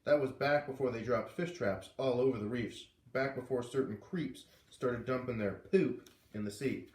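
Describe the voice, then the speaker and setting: A man voicing a gruff, proud old grandfather with a rumbly voice in a children's story. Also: normal speed, echoey room, close to an everyday voice.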